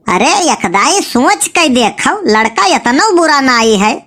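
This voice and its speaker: High, bright, pleading Awadhi cartoon matchmaker played by a woman. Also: big pitch swings, takes it slowly, heavily acted. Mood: coaxing, insistent. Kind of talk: comic cartoon dialogue in village dialect.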